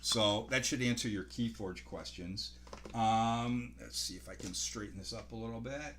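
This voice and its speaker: A man in his sixties giving casual product news to camera as a game store host, in a halting, rambling way, often correcting himself. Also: fairly bright tone. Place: small home office by a window, indoors. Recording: clearly noisy home recording, low hum.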